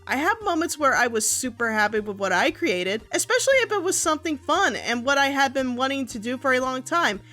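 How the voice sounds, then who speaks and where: High-pitched, animated woman reviewer. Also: brisk, hardly any pauses, faint music behind. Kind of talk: review commentary mixing script and banter.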